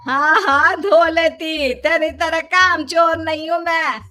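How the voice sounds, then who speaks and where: Woman acting a shrill, scornful older mother-in-law. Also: fairly even intonation, takes it slowly, pushed and bright, heavily acted. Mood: indignant. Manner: scolding, taunting. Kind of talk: Hindi children's cartoon dialogue.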